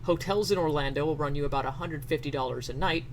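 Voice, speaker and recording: A man with a fairly high voice performs a mock dramatic reading. He is theatrical and sarcastic, slipping into offhand asides. Some noise sits behind the voice. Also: fast talker. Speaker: streamer doing dramatic readings.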